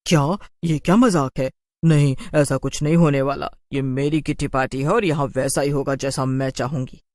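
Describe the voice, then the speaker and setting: A woman's voice: extremely low pitch, fairly even intonation, moderate pace, darkish tone. A woman voicing a bossy, short-tempered female witch in a cartoon horror story, scolding and imperious. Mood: scolding, outraged.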